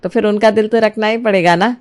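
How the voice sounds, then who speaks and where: A woman's voice: mid-range voice, fairly even intonation, fairly quick, darkish tone, natural voice. A woman voicing a kindly middle-aged mother-in-law in a cartoon story, speaking in a motherly, coaxing way. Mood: coaxing, plotting. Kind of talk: Hindi cartoon moral story dialogue.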